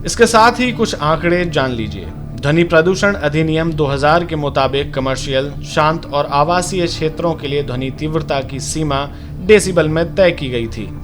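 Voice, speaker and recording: A man's voice: a little high, animated, moderate pace, few pauses, music in the background. A man reading a scripted news report as a narrator.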